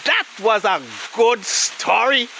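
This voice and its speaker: A man's voice: extremely high pitch, slow pace. A man with a Caribbean accent chatting casually with children and a co-host, folksy, interjecting and repeating his phrases. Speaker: co-host of a children's Bible story program. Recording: clear steady background hiss.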